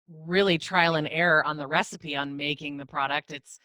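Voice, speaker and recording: A woman's voice: sits low, steady tone, normal speed, bright, forceful tone, dry recording, studio quality. A woman hosting an interview, asking her guest friendly, prompting questions. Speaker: podcast host.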